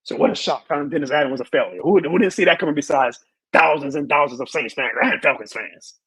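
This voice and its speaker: A hoarse man who has lost his voice, hosting a live sports show and ranting with emphatic repeated lines. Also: a little high, fast talker, fairly bright tone, dry recording. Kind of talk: live sports rant and chat reading.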